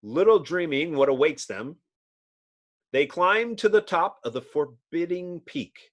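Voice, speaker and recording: Slow, theatrical man narrating with suspense. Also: a little high, lively intonation. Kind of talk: dramatic comic book narration.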